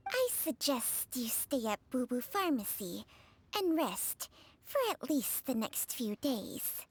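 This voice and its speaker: A woman's voice: sits high, big pitch swings, slow, breathy edge, no room sound, heavily acted. A woman voicing a sassy, bossy talking snake in a video game, blunt and theatrical.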